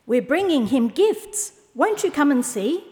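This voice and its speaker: A woman's voice: high voice, sing-song, takes it slowly, darkish tone, long reverb tail, voice pushed up, exaggerated voice. A woman voicing an earnest male camel in a children's story, proclaiming news and inviting.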